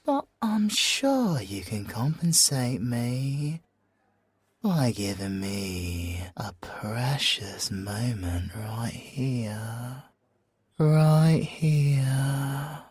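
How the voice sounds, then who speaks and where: Slow, husky, suave British male siren, acted by a man. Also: medium pitch, animated, no room sound, heavily acted. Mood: flirtatious, smug. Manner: slow, drawn-out teasing delivery with whispered phrases. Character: suave, flirtatious, possessive male siren, smugly self-assured. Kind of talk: ASMR audio roleplay.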